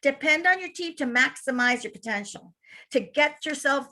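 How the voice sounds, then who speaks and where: A woman with a Cuban accent, a childcare center director giving an energetic webinar presentation to attendees over a video call. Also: sits high, moderate pace. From Cuba.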